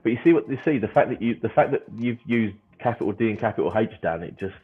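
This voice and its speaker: Deep-voiced man on a tinny line. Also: lively intonation, slightly rough, faint music behind. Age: 30s. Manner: chatty, ranting playfully, quick laughs. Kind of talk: casual livestream chat. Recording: tinny phone-like call audio.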